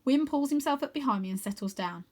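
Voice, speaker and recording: A woman reading a children's story aloud in an expressive narrating style. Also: sits high, fast talker. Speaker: storybook reader.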